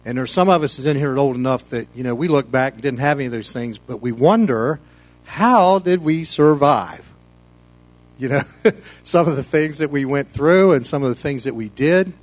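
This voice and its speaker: A man's voice: medium pitch, animated, normal speed, no echo. A middle-aged or older American man giving an informal sermon as a pastor, in a folksy, rambling, conversational way full of "you know.". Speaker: pastor leading a Bible study.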